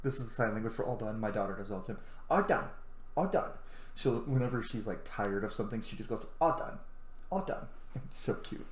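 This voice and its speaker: A man's voice: fairly low pitch, a little fast. Man commentating a game in an echoey room. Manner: enthusiastic, muses aloud, casually profane. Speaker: gaming streamer, let's play host. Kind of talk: casual gameplay commentary. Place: indoor room at home. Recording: roomy echo, clear background noise.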